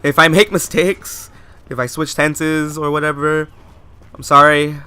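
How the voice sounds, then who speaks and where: A man's voice: on the high side, little pitch movement, measured pace, sharp tone, clear, resonant tone. A man giving post-recorded commentary over gameplay, chatty and rambling, often asking viewers questions. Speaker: let's play host.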